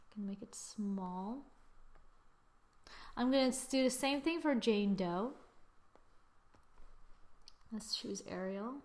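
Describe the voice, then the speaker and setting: A woman hosting a screen-recorded software tutorial, chatting as she works and correcting herself with little exclamations. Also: medium pitch. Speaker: tutorial host.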